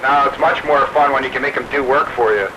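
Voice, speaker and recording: A man's voice: medium pitch, fast talker, over a noisy crowd. A man with a blunt, needling way of talking in casual radio banter. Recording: very noisy two-way radio transmission.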